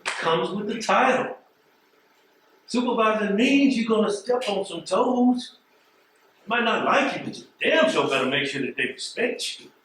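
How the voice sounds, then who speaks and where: A man acting a blunt postal carrier in a stage play, in a fairly high male voice. He lectures emphatically and with disappointment. The voice rings in a very echoey space, some way from the mic, with faint noise. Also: lively intonation, unhurried, fairly bright tone, far from the mic. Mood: disappointed, emphatic. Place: theatre stage.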